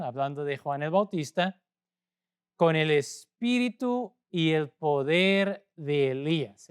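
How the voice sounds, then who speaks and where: A man from Portugal with a Portuguese accent, a native Portuguese speaker, preaching a sermon as a pastor in a measured way with pauses. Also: high-pitched, clean tone. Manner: measured, pausing, speaking a second language.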